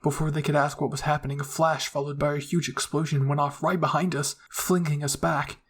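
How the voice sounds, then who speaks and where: A man's voice: fairly high pitch, level tone, a little fast, fairly bright tone, breathy edge. A man reading scripted first-person roleplay narration as a storyteller.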